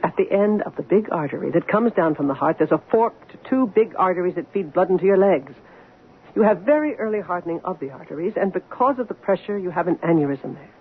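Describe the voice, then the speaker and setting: An actress playing an anxious, guilt-ridden doctor, earnest and emotional in an old-time radio drama style. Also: female voice, lowish voice, animated, lightly acted. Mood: controlled, explaining. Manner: earnest, emotional, halting when distressed. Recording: tinny, hissy old radio broadcast.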